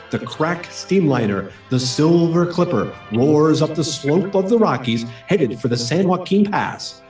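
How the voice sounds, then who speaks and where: A man's voice: on the high side, darkish tone, far from the mic. A man delivering dramatic, suspenseful scripted narration as an old-time radio serial announcer. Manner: theatrical, suspenseful. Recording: echoey room, away from mic, faint music.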